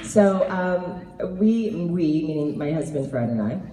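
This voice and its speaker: A woman in her thirties, slightly hoarse, answering fan questions at a convention panel with laughing, animated storytelling. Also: deep voice, a little slow, some chatter behind. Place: large convention hall with audience. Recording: roomy hall recording from far off.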